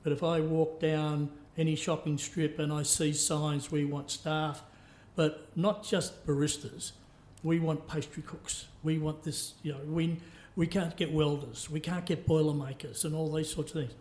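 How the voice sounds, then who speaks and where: A man's voice: fairly high pitch, little pitch movement, unhurried, a little mellow. A man interviewing on a podcast in an informal, rambling, conversational way. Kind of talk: casual conversational interviewing with anecdotes. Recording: echoey room.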